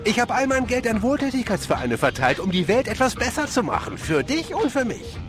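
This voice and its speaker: A man's voice: extremely high pitch, wildly swooping pitch, a little fast, exaggerated voice. A man playing an easygoing, joking police detective who is always broke, in an audio drama. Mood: cheeky pleading. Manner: joking, casual, slangy. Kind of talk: audio drama acting of a video game story. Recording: music under the voice.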